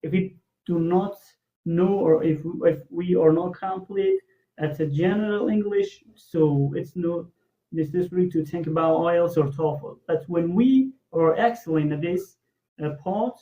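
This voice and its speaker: Farsi-native host asking long rambly questions in english, higher voice, muffled audio. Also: male voice, lively intonation, unhurried, mellow. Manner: long, searching questions with fillers. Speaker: interview host.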